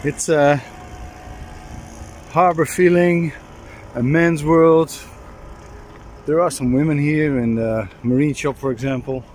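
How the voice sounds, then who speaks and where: A man's voice: fairly even intonation, slow, long pauses, darkish tone. Middle-aged man vlogging casually over outdoor noise. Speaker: sailing vlogger. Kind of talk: casual vlog chat and DIY explanation. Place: outdoors at a harbor boatyard and on a boat deck. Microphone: handheld camera at arm's length. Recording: noisy outdoor recording with ambient rumble.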